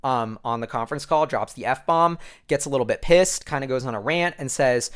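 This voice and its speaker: A man's voice: medium pitch, fairly quick, a bit bright, smooth. An American man giving casual, off-the-cuff commentary as a show host, rambling informally with plenty of filler phrases. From United States. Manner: informal, rambling, heavy use of "like" and "you know".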